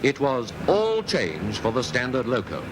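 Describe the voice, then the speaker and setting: A man's voice: medium pitch, wildly swooping pitch, unhurried, very noisy. A man with a British Received Pronunciation accent narrating a 1950s newsreel in a formal, polished, emphatic way. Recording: vintage 1950s newsreel soundtrack with music. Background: music.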